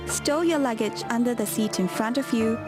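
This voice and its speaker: High-voiced woman narrating over loud music. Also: normal speed. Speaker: safety video narrator. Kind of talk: scripted instructional narration.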